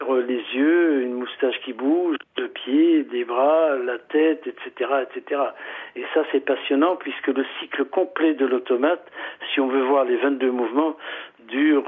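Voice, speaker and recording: A man's voice: mid-range voice, moderate pace, husky. A middle-aged man from southern Italy, a museum owner speaking French as a guest on a phone interview, hesitant and repeating words often. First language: Italian. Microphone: telephone. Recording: tinny phone line.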